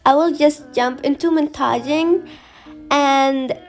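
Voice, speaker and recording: Young female vlogger, chatty and upbeat, slightly high voice over background music. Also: slow. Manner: chatty and enthusiastic, frequent fillers. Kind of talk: casual travel vlog narration. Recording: music playing underneath.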